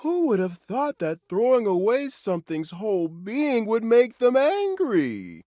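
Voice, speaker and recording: A flippant game character in a lowish, drawling voice. Her pace is slow and her pitch swings widely. It is recorded dry and close. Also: wildly swooping pitch, dark tone, no room sound. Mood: flippant, dismissive. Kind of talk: video game character acting.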